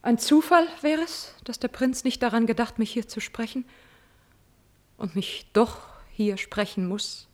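An actress playing a proud, spurned countess in a classical radio drama, wounded, bitter and insistent, theatrically moving between exclamations and whispers. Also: female voice, on the high side, lively intonation, measured pace. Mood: urgent insistence.

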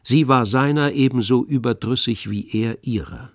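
An actor playing the all-knowing narrator of a literary tragedy in a radio drama, telling it in a measured, expressive way. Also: male voice, mid-range voice, no echo, clean studio sound, lightly acted. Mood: wry, detached.

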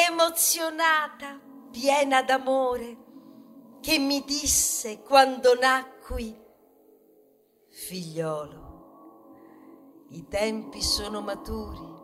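Woman acting a very slow, solemn bioplastic bag. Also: sits high, pushed and bright, full character voice. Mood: solemn, moved. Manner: solemn, grandiose, slow and deliberate. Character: proud male bioplastic bag with a solemn mission. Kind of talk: theatrical stage monologue. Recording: music behind the voice.

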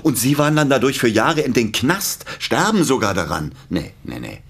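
An actor playing a nervous, reluctant male accomplice in a radio play, speaking haltingly in a husky voice. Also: medium pitch, sing-song, fairly bright tone, full character voice. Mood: indignant. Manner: hesitant, halting, repeating himself.

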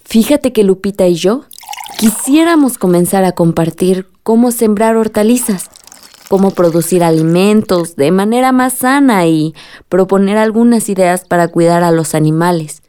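An actress playing an eager, affectionate young village granddaughter in a radio drama, with a Mexican accent. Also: female voice, mid-range voice, lively intonation, normal speed, dark tone, natural voice. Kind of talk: Spanish-language radio drama acting.

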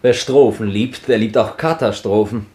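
A man's voice: lowish voice, moderate pace, a little mellow, natural voice. An actor playing a dry, ironic old man in a 1950s radio drama, speaking tersely. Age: older.

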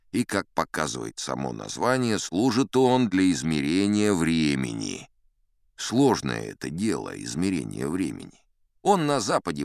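Deep and richly inflected, this is a pompous old sea captain telling tall tales for an audiobook. He digresses like a self-assured scholar, with big swings in intonation, in a close, dry recording. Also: dry recording, exaggerated voice. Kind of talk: theatrical audiobook storytelling.

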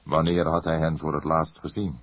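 A man reading the part of an old police detective in an audiobook, patient and hesitant, with measured, probing questions. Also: extremely low pitch, little pitch movement, no room sound.

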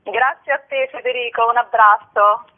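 A woman's voice: fairly high pitch, fairly even intonation, normal speed. A woman being interviewed about theatre work, answering conversationally and hesitantly as she searches for words. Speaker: theatre artist interviewed about her show. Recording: tinny, narrow-band phone line on radio.

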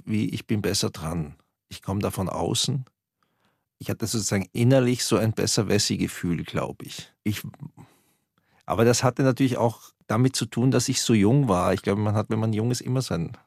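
A man's voice: on the low side, creaky, a little mellow, husky, dry recording, clean studio sound. A man looking back in a recorded interview, hesitant and musing, laughing at himself at times. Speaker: interviewee remembering his youth.

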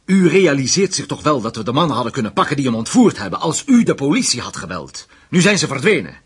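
A man's voice: medium pitch, big pitch swings, fast talker, a bit bright, slightly rough, exaggerated voice. A man acting in a radio play as a hard, vengeful investigator, menacing and sardonic as he interrogates. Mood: stern, indignant. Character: hard, vengeful male investigator, survivor of Nazi camps.

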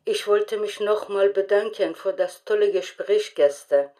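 Actress doing grateful woman leaving a voicemail, dark soft tone, unhurried, sounds far off. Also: mid-range voice, lightly acted. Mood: grateful, earnest. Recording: distant, muffled voicemail playback.

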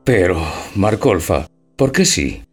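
A man plays a well-off old bachelor in a radio play, timid and bookish. His low voice swings widely as he questions everything in hesitant bewilderment. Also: big pitch swings, slow, faint music behind, full character voice. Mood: bewildered, doubtful. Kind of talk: theatrical audio drama.

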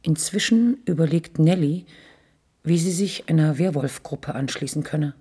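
An actress playing a detached, wry literary narrator in a German radio play, with a husky, gravelly voice and sober delivery. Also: female voice, low-pitched, unhurried, dark tone, full character voice. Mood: sober, ironic.

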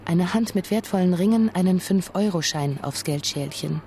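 A woman's voice: fairly low pitch, moderate pace, dark tone, slight background noise, natural voice. A woman acting as the narrator of a radio drama, recounting absurd events evenly in scripted prose. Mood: wry, even. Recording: dry studio, faint music.

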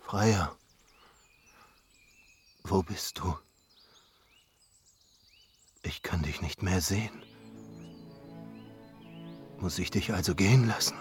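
An actor playing a lovesick, wistful giant in a German fantasy radio play, with a yearning manner. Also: male voice, low voice, slow pace, pauses often, faint music behind. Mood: wistful, smitten.

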